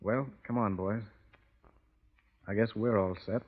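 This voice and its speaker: A man's voice: lowish voice, steady tone, unhurried, lightly acted. A man playing a resigned condemned cattle rustler with casual, folksy talk in a radio western. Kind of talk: 1940s radio western acting. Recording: muffled vintage radio broadcast.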